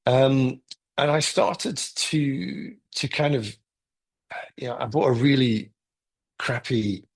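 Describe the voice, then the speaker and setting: Husky-voiced middle-aged Englishman. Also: mid-range voice, slow. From United Kingdom. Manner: thoughtful, rambling with frequent restarts. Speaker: guest photographer. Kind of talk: casual interview conversation.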